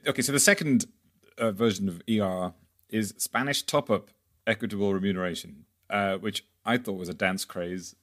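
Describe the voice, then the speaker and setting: A man hosts a podcast discussion in a fairly low voice. He asks questions with a wry, joking edge and plenty of hesitant fillers. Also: moderate pace, fairly bright tone. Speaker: podcast host.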